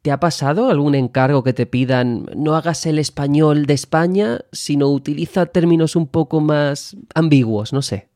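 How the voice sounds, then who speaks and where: A man from Andalusia, Spain, hosting a podcast, asking lively questions in casual conversation. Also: a little high, normal speed, few pauses, no room sound, clean studio sound. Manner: inquisitive, lively, asks questions. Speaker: podcast host, philologist.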